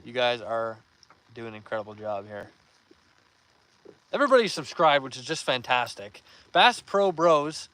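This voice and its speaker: A young man hosting a live fishing stream outdoors, reading viewer comments aloud and giving upbeat shout-outs in a relaxed voice. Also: on the high side, rough recording. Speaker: fishing livestream host.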